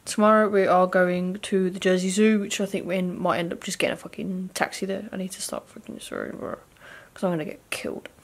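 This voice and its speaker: A woman's voice: on the low side, a little fast, creaky, few pauses. Young woman vlogger, sweary and rambling, handheld camera in a hotel room. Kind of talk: casual vlog chat. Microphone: phone or camera at arm's length.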